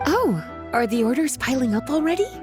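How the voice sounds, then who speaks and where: An actress playing a teasing, composed, sultry woman mentor in a video game, with a playful, unhurried manner. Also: sits high, very animated. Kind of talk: video game cutscene acting. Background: background music.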